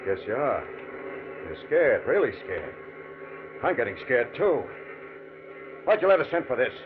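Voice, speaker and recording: An actor playing a bullying gangster boss in 1940s radio style, brash, commanding and full of slang. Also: male voice, mid-range voice, very animated, measured pace. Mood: menacing. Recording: noisy, tinny 1940s radio recording.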